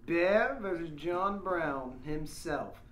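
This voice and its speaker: A man's voice: a little high, animated, slow, fairly bright tone. A man hosting a podcast in a casual, rambling way, with dry, joking asides. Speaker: podcast host. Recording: echoey room, faint background noise.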